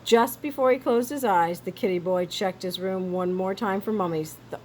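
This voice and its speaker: A woman storyteller reading a children's story aloud with expressive, theatrical emphasis. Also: medium pitch, moderate pace, a little hiss or hum.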